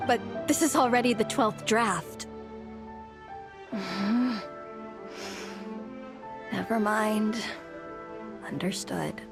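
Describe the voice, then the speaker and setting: An actress playing an overworked, exasperated young office worker in a video game, weary and pointed in delivery. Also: female voice, medium pitch, takes it slowly, vocal fry. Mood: weary, hesitant. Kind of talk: dramatic video game voice acting. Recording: music playing behind the voice.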